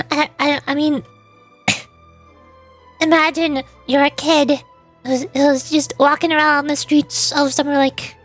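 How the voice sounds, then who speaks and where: A woman with a high voice chats casually on a livestream. She rambles and restarts her thoughts in a giggly way. Music plays behind her. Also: level tone, slow pace, long pauses, a bit bright. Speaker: streamer and voice actor.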